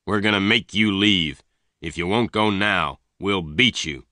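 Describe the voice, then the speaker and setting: A man's voice: low voice, measured pace, sharp tone, dry recording, studio quality. A man voicing an angry, jealous and commanding boss in a western story, speaking in threats. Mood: threatening.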